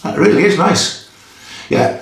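A man's voice: fairly high pitch, huge pitch swings, measured pace. A man in his fifties with a Geordie accent, chatting casually while he shaves in a small tiled bathroom, talking in short stop-start phrases. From Newcastle area, England. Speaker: shaving vlogger. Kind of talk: casual chat while shaving. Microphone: camera in front of face. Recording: echoey bathroom, faint noise.

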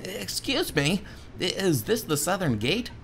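A man voicing an earnest, excitable young male adventurer in game dialogue, inquisitive and dramatic. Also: high voice, unhurried, a little hiss or hum, voice pushed up, heavily acted. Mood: polite, questioning. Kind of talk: game dialogue read aloud.

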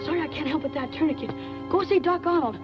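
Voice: high-pitched, quick pace, natural voice. A child actor playing a chatty, curious small-town American boy in a 1940s film. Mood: grumbling. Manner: inquisitive, chattering, plain-spoken. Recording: muffled old film soundtrack, music behind.

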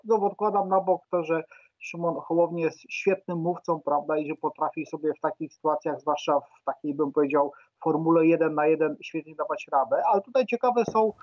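A middle-aged man giving political commentary as an interview guest on a video call, speaking haltingly with repeated words and questioning tags. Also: on the high side, steady tone, no room sound. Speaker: guest expert, political science professor. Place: book-lined room at home, indoors. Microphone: earphone headset on a video call. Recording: dull, muffled video-call audio.

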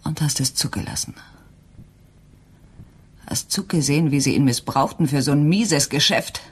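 An actress playing a distraught, accusing wife in a German radio crime drama, with a husky, breathy voice. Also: female voice, low-pitched, normal speed. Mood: suspicious, probing.

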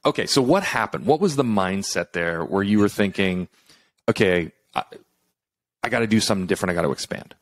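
Deep-voiced, upbeat podcast host. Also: male voice, animated, fairly quick, dry recording, studio quality. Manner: upbeat, coaching, acts out quoted thoughts. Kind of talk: conversational interview hosting. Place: room at home, indoors.

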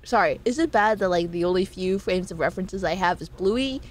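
A young American woman chatting casually with her stream viewers, animated, reading chat aloud and laughing often. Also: on the low side, a little fast, soft background music. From United States.

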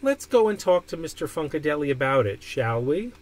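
A man voicing a kindly, calm male baseball coach in a storybook, asking things gently and patiently. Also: on the high side, fairly quick. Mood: puzzled, calm.

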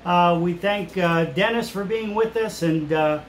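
Male pastor, fifties, hosting for kids, simple explanatory delivery, roomy sound, slightly higher pitch. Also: measured pace. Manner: simple, explanatory address to children. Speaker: pastor hosting a children's puppet show. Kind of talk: children's ministry talk. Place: indoors in a small room. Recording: roomy echo with some background noise.

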